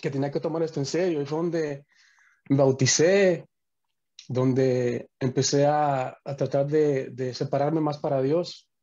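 A man's voice: on the high side, steady tone, measured pace, warm tone, muffled recording. A young man from the Dominican Republic, a worship musician, talking earnestly over a video call and often restarting his sentences. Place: small home room.

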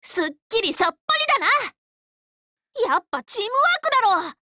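A woman's voice: very high voice, sing-song, fairly quick, pushed and bright, no room sound, studio quality, heavily acted. A woman playing an upbeat, loyal, tomboyish teenage magical girl in an anime game, speaking in a casual, frank, boyish way. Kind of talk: anime game character voice lines.

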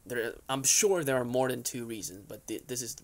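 A young man with noticeable vocal fry at an ordinary male pitch, hosting a casual vlog. He talks conversationally and loosely, wandering through his opinions and often checking in with the listener. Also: normal speed. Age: young adult. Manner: conversational, rambling, frequent "right?" and "you know" tags. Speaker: YouTube host. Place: furnished basement room, indoors.

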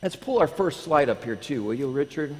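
A man in his thirties, a pastor, preaching in a lively, animated way and questioning his congregation. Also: fairly high pitch, fairly quick. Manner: animated, pacing the stage, asking the congregation. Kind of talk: lively sermon with prayer. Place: large church sanctuary. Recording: long church hall reverb.

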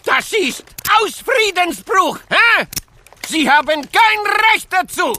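Blustering Spanish crime boss, Spanish accent, very high piercing voice, slow theatrical delivery, children's radio play. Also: male voice, lively intonation, pushed and bright, slight background noise, exaggerated voice. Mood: frantic indignation. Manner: theatrical, drawn-out, peppered with Spanish words. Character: excitable Spanish male gangster boss, indignant and blustering. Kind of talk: children's audio drama acting.

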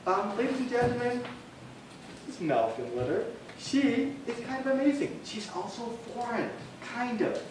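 Host guy joking thru an intro, high voice, super echoey, far from the mic. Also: moderate pace, crowd noise. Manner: playful, joking, excitable. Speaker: event organizer and host. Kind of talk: introducing a speaker. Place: dim meeting room. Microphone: far camera. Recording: distant, very echoey room recording.

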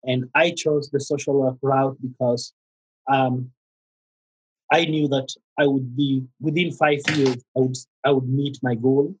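Husky-voiced Kenyan man, halting speech. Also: medium pitch, fairly even intonation, dull audio. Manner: halting, many fillers and restarts. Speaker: guest therapist. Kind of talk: conversational interview.

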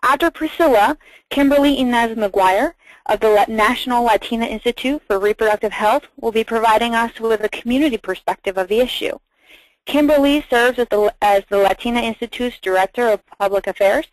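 A woman's voice: on the high side, fairly quick, no echo, poor audio. A woman moderating a webinar, reading housekeeping notes and speaker introductions in a careful, scripted way with small restarts.

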